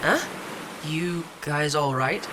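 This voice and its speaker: A woman's voice: sits low, fairly even intonation, slow pace, background noise. A woman playing a blunt, commanding, foul-mouthed army general in a fantasy audio drama. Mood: puzzled, irritated.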